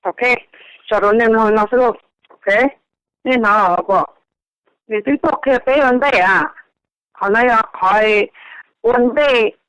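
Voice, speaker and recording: A woman's voice: a little high, slow, long pauses, fairly bright tone, smooth, dry recording. A woman speaking conversationally as a participant on a telephone conference call. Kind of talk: conversational speech on a group call. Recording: dull, lo-fi telephone conference line.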